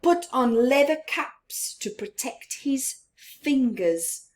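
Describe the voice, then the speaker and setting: A woman reads a children's story aloud at a very slow pace in a fairly high voice. She leans on key words with dramatic emphasis and stretches them out. Her delivery is expressive, dipping into hushed asides for young listeners. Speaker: storyteller reading a picture book to children.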